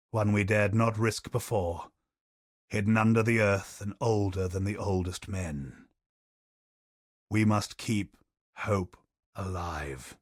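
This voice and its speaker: A man voicing a game character who recounts a dark war tale in slow, grave storytelling. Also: on the low side, no room sound, studio quality. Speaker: game character narrating lore. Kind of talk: video game voice lines, lore recounting.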